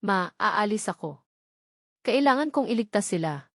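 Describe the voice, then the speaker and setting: A woman's voice: mid-range voice, expressive, normal speed, gravelly, fairly bright tone, no room sound, clean studio sound. Woman voicing a desperate, determined Filipino man. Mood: urgent, determined. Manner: urgent, demanding. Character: desperate, determined adult son and father.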